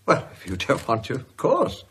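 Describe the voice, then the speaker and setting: A man's voice: mid-range voice, huge pitch swings, moderate pace, fairly bright tone, husky, full character voice. An actor in a radio drama playing a restless, impatient, sarcastic man with an emphatic delivery. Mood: curious. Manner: exasperated, emphatic.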